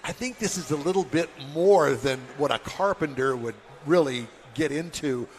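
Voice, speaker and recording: A man's voice: a little high, normal speed, a little mellow. A man hosting a live car talk show, chatting and bantering with guests in a joking, folksy way. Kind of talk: live interview and casual banter. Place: remote broadcast at an event venue. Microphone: broadcast microphone.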